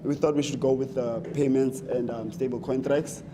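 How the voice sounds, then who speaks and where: Young man, South African accent, student presenter, hesitant, frequent ums, mid pitch, noisy live audio. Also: steady tone, moderate pace. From Eastern Cape, South Africa. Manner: hesitant, many ums and restarts. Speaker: student hackathon contestant. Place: conference stage.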